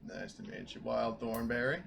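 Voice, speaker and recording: A man's voice: medium pitch, moderate pace, vocal fry, fairly bright tone. A cocky, flirty woman marine played by a man in a tabletop sci-fi game, teasing and swaggering in a conversational register. Faint noise sits behind the lines. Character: cocky, flirty female space marine with prosthetic legs.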